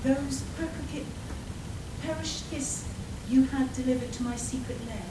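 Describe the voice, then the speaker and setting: A woman's voice: sits high, a little slow. A woman playing a vain, haughty, melodramatic villainess in a comic radio play. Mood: haughty, flippant. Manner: haughty, melodramatic, swooping delivery. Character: vain theatrical villainess with giant rabbit monsters. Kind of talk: live radio play comedy acting. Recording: distant, echoey, noisy convention room recording.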